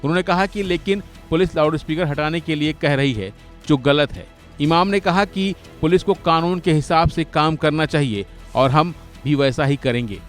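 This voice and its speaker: A man's voice: fairly high pitch, normal speed. A man reading a scripted news voice-over as the narrator of a report. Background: music bed.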